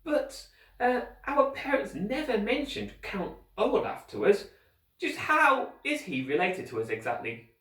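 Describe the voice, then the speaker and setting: A man's voice: high-pitched, sing-song, unhurried, fairly bright tone, voice pushed up, exaggerated voice. A man voicing a thoughtful young boy in a children's storybook, doubtful and questioning. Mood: puzzled. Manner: puzzled, questioning. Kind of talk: children's storybook character reading. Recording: roomy echo, distant microphone.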